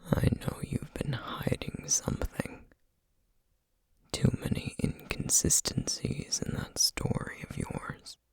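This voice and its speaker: Man acting a menacing, husky whispering feral creature. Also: mid-range voice, darkish tone, dry recording, full character voice. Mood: cold menace. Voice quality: breathy, husky near-whisper. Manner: threatening, slow, deliberate interrogation. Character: menacing, distrustful feral male essence-eater creature. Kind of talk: ASMR roleplay audio drama.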